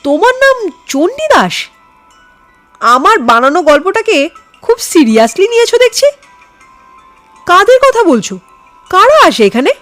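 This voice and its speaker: A woman voicing a cocky, amused male visitor in an audio horror story, talking in a brisk, patronising way. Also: very high-pitched, very animated, a bit bright, faint music behind, pitched above the performer's own voice, full character voice. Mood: amused, surprised.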